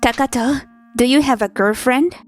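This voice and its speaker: A woman's voice: high-pitched, normal speed, faint music behind, lightly acted. A woman playing a polite, persistent young office worker with a crush in a scripted manga dub. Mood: hopeful, probing.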